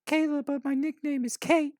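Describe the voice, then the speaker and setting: A man voicing a sarcastic, put-upon nineteen-year-old orphan girl in an exaggerated falsetto for a story reading. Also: little pitch movement, normal speed, a little mellow, dry recording, studio quality. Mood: shy.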